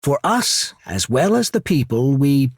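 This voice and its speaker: Imperial envoy, video game dialogue, persuasive, measured wording, highly varied intonation, dry close studio sound. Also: male voice, sing-song, breathy edge, dry recording, heavily acted. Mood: cool, explanatory. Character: smooth, calculating male diplomat of an imperial trading power.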